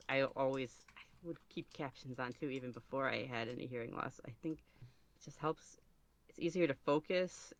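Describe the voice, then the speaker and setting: A man's voice: mid-range voice, a bit bright. A man speaking casually as an interview guest, rambling and somewhat self-conscious as he goes. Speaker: podcast guest, ASMR roleplay creator.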